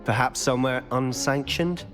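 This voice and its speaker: An actor playing a cocky, charming, rule-breaking teenage wizard student in a video game, confident and teasing. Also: male voice, mid-range voice, moderate pace, a bit bright, lightly acted. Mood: teasing confidence. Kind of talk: video game cutscene acting. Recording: prominent music behind the voice.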